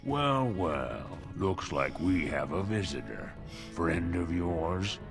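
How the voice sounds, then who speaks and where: An actor playing a menacing gang thug in a video game, speaking with mock politeness and open threat. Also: male voice, low voice, sing-song, slow pace, gravelly, over music, full character voice. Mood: mocking menace. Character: menacing male gang thug shaking down merchants. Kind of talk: video game character acting.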